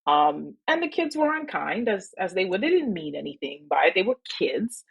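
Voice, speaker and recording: A woman's voice: on the low side, very animated, moderate pace, creaky, breathy edge. A woman from Trinidad, an attorney guest on a podcast, telling reflective stories with laughter. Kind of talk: podcast interview.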